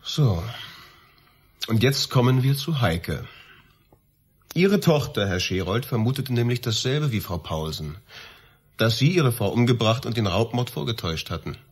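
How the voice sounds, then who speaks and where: Husky, stern police detective. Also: male voice, medium pitch, expressive, a little slow, long pauses. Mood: accusing. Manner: stern, matter-of-fact, commanding. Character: stern male police detective laying out accusations. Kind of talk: radio crime drama acting.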